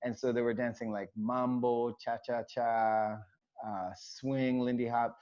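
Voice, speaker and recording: A man's voice: mid-range voice, level tone, measured pace, darkish tone. Man, dancer being interviewed, casual rambling talk, lots of fillers, muffled lo-fi audio. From United States, raised in Hawaii. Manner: rambling, many fillers and restarts. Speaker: choreographer and dancer, guest. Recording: dull lo-fi sound.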